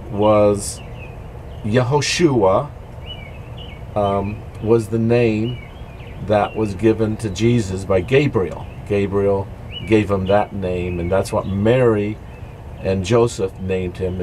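A man in his fifties, a native English speaker, giving an informal devotional talk to camera in a halting, explanatory way. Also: lowish voice, steady tone, a little mellow. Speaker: devotional vlogger. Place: dark greenhouse. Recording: noisy amateur recording with background ambience.

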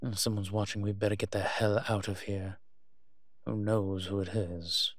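A man playing a wry, cautious vampire father in an audio roleplay, speaking in a measured, musing way to the listener. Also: on the low side, level tone, no room sound, natural voice. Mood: wary, urgent. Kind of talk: scripted audio roleplay acting addressed to the listener.

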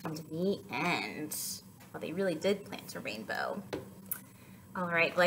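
A woman's voice: fairly low pitch, slight background noise. A young woman hosting a children's story time, reading and chatting to young children in a sing-song, questioning way. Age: young adult. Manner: animated, sing-song, prompting listeners with questions.

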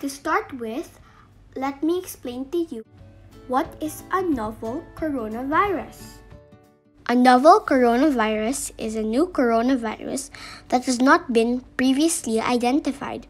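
Woman as a slow-talking, calm lady doctor puppet. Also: high-pitched, faint music behind. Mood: instructive. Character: female doctor puppet, calm, reassuring, instructive. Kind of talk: children's educational puppet show, scripted explanation.

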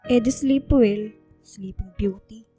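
A woman's voice: mid-range voice, slow pace, warm tone, husky, soft background music. A woman playing a hurt young student girlfriend in a Filipino radio drama, plaintive, hesitant and pouty, yet questioning. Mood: suspicious, sulky.

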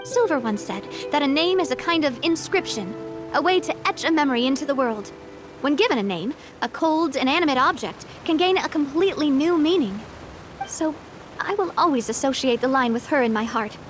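An actress playing a warm, resolute young woman leader in a video game, wistful yet upbeat. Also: sits high, expressive, a little fast, faint music behind. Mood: wistful, reflective. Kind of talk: video game character acting.

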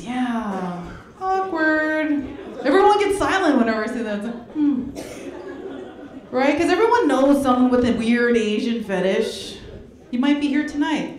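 A young woman performing a stand-up comedy set on a club stage into a handheld mic, laughing between jokes. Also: fairly high pitch. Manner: animated, laughing between jokes, puts on voices for bits. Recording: roomy club with crowd laughter. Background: audience laughing.

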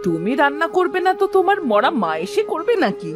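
A woman playing a harsh village aunt in an animated moral story, speaking in a sharp, bossy, accusing manner. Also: sits high, fairly quick, music in the background. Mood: scornful.

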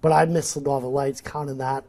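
A man's voice: mid-range voice, little pitch movement, brisk, vocal fry, a little mellow. New England man explaining eagerly. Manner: gestures a lot, explains eagerly with restarts. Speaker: nature photographer guest. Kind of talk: casual interview talk. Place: studio set. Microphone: lapel.

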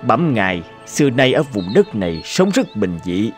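A man voices a humble old townsman from an animated folk tale. He is serene and unafraid, speaking with measured respect and wisdom over soft music. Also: medium pitch, natural voice. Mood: reflective. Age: older.

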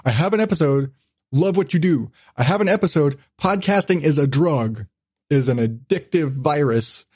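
A man's voice: on the high side, lively intonation, moderate pace, a little mellow, husky, dry recording, studio quality. A man hosting a podcast in casual chat, blunt, self-deprecating and swearing freely. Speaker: podcast host.

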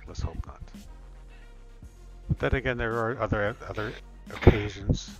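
A man playing a caring, persistent friend in improvised role-play, speaking in a coaxing, halting way. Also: lowish voice. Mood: calm. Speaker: role-player in a virtual world. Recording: dull lo-fi audio, faint music.

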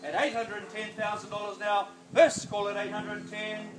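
A middle-aged New Zealand man works a backyard auction, his fairly high voice chanting bids in brisk repeats and coaxing buyers along. He is picked up by a phone camera at a distance outdoors, with an echoey ring and a faint hiss of noise behind him. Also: little pitch movement. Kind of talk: rapid auction calling.

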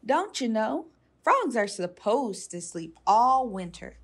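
Woman doing a bossy mama frog, high singsong calling, chiding, from a kids storybook. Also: sing-song, takes it slowly, slightly rough, heavily acted. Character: motherly adult female frog, firm and chiding.

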